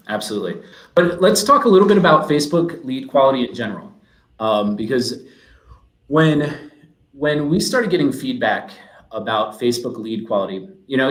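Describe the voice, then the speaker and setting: A man with a mid-pitched voice gives an explanatory talk as a webinar guest. His manner is businesslike. The recording has a noticeable roomy echo. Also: fairly bright tone. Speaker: guest speaker. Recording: roomy, echoey sound.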